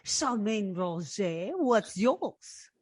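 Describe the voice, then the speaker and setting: A woman acts out a defiant young femme fatale. She is haughty and emphatic, her pitch swinging widely, in a dry recording of an old radio detective play. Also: very animated, drawn-out words, husky, no room sound, full character voice. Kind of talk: old-time radio detective drama acting.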